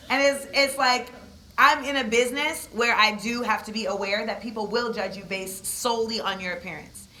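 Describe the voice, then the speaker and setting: A woman in her thirties with a deep voice, giving a comedic storytelling talk at a university event with lots of asides. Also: low-quality recording. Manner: animated, comedic, conversational with asides. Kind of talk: storytelling talk to a live audience.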